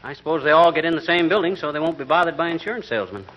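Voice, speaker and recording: A man's voice: fairly high pitch, expressive, very fast, lo-fi sound, close to an everyday voice. A man playing a wisecracking, blustery middle-aged husband in a folksy, teasing vintage radio comedy style. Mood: needling. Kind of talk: 1940s radio comedy acting. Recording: band-limited vintage radio broadcast, faint noise.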